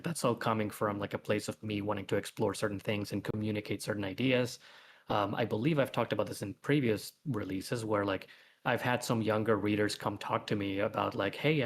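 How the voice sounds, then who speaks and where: A man with a fairly low voice speaks as a webcomic author in a relaxed livestream discussion. He rambles and works out his thoughts as he talks. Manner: rambling, thinking aloud.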